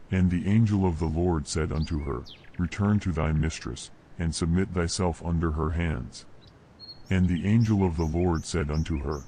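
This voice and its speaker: Man, deep voice, mellow dark tone, solemn formal Bible narration, measured delivery, faint birdsong and nature behind. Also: slight background noise. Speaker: narrator of scripture. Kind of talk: solemn scripted Bible reading. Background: birdsong and nature sounds.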